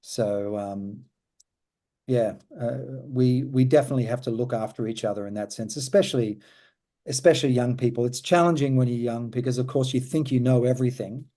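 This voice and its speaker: Dari Australia, pria bersuara lembut hangat. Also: male voice, medium pitch, a little fast, dark tone. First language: German. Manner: thoughtful, repeats words as he gathers thoughts. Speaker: guest musician. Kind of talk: casual interview chat.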